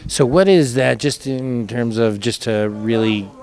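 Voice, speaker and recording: A man's voice: mid-range voice, measured pace, a little mellow. Male host, mid-range voice. Effusive, encouraging on-location interviewer. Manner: effusive, affirming.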